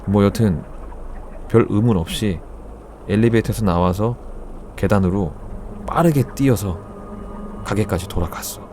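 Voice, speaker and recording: A man narrating a first-person horror story in a casual, conversational storytelling way, with deliberate phrasing. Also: fairly low pitch, a little mellow, slight background noise. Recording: background music under the voice. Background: music.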